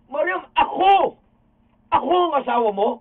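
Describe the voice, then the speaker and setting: A man's voice: very high voice, animated, moderate pace. A man playing an aggrieved, defensive husband in a radio drama, agitated and protesting, with a Filipino accent. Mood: agitated, indignant. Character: aggrieved, defensive husband arguing with his wife. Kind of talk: amateur radio drama acting. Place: radio recording studio. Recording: muffled phone-like audio, distant from mic.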